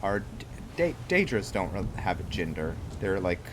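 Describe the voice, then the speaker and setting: A man's voice: deep voice, very animated, moderate pace, background noise. A man giving casual gameplay commentary as host of a roleplay series, thinking aloud in a rambling, musing way that often trails off. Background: game music.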